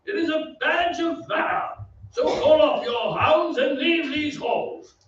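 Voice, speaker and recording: Proud declamatory lord for radio drama, male actor, deliberate delivery, distant and echoey stage sound. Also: extremely high pitch, fairly bright tone, full character voice. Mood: indignant. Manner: declamatory, haughty. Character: proud, stern English lord, a grown man's father, defending family honor. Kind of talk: old-time radio acting. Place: theatre stage. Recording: echoey stage, distant mic, dull, faint noise.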